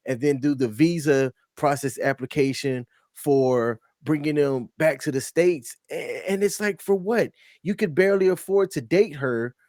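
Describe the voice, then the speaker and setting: A man with a slightly high voice talks through a casual reaction chat. He gets animated and breaks into loud, excited bursts. The sound is dry and close. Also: no room sound. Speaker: co-host reacting to clips.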